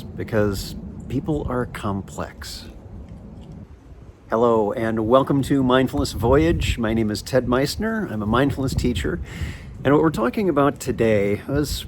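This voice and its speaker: A man hosting a mindfulness podcast, gentle and measured as he introduces his guest. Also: mid-range voice, noisy background. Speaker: podcast host, mindfulness teacher. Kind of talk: podcast interview host.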